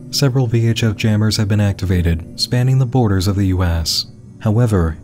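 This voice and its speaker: A man with a fairly low voice delivers scripted narration as the host of an explainer. His delivery is measured and dramatic, like a storyteller's, with music clearly playing behind him. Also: a little mellow.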